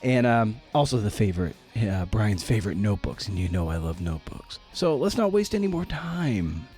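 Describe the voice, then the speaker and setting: A man with a fairly low voice hosts a podcast in a casual, chatty style. His delivery is a little halting, feeling its way from phrase to phrase. Music plays behind him. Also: sing-song, darkish tone. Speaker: podcast host.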